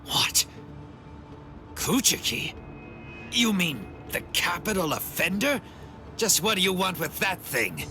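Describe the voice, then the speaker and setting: Brash, scornful warrior, wildly swinging pitch. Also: male voice, sing-song, slow, pauses often, music in the background, full character voice. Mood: grudging. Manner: gruff, mocking, dramatic. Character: brash wounded young male warrior, proud and scornful. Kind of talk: English video game voice acting.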